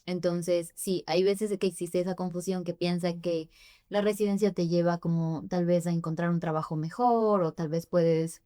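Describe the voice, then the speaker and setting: Ecuadorian woman on a podcast, low-ish voice, lots of ehs, dry room sound. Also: dark tone, no room sound. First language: Spanish. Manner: thoughtful, many hesitations with "eh". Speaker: immigration forms preparer, guest. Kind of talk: podcast interview.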